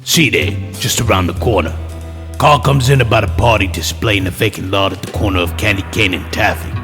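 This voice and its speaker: A man's voice: fairly low pitch, moderate pace, over music, lightly acted. Man acting cynical noir detective narrator. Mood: cynical, brooding. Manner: cynical, terse. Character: jaded hard-boiled male detective narrating his case. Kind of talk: noir first-person voice-over narration.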